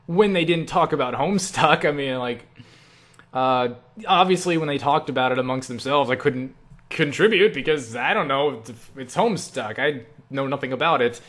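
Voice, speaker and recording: A man's voice: medium pitch, moderate pace, a bit bright. Rambling, casual young man. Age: young adult. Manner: rambling, self-deprecating, swears casually. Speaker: online video creator answering viewer questions. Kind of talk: casual chatty Q&A vlog.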